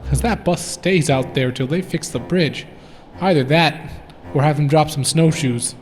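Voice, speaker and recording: A man voicing a gruff, wisecracking bus driver in a school radio play. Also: a little high, unhurried, in a large hall, soft background music, natural voice. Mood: wry. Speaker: teacher acting.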